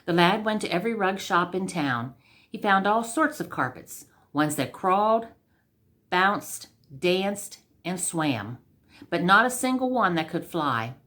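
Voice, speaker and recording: A woman's voice: fairly low pitch, animated, unhurried, a bit bright. A woman storyteller reading a scripted comic tale aloud.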